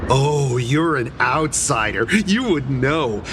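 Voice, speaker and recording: A woman's voice: a bass voice, lively intonation, takes it slowly, noisy background, full character voice. A woman voicing a chatty soda vendor and proud local in a video game, theatrical and effusive. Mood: proud, confiding.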